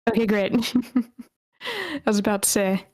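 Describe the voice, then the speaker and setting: A woman's voice: fairly high pitch, sing-song, slow, a crowd in the background. A woman hosting an online table read, casually announcing and organizing things. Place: online voice meeting.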